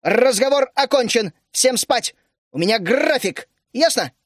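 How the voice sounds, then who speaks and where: Fierce gnome matron, loud and scolding, a male narrator putting her on; high, dry read. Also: lively intonation, normal speed, no echo. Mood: furious. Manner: shouting, scolding, indignant. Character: stern bossy female gnome housewife, fiery.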